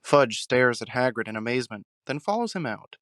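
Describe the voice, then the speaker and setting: A man's voice: mid-range voice, very animated, fairly quick, fairly bright tone. A man reading scripted narration for a fan audio drama, recorded close on the mic. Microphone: close mic, dry recording.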